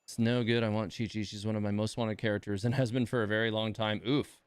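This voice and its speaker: A man's voice: on the low side, level tone, fast, smooth. A man giving casual live game commentary as a streamer, thinking aloud in a laid-back way.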